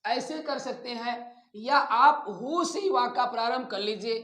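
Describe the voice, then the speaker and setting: Slow-paced male teacher, echoey room. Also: very high voice, sharp tone. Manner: deliberate, dictating formulas piece by piece, instructive. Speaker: English grammar teacher. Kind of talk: step-by-step classroom lesson. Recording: echoey, roomy sound.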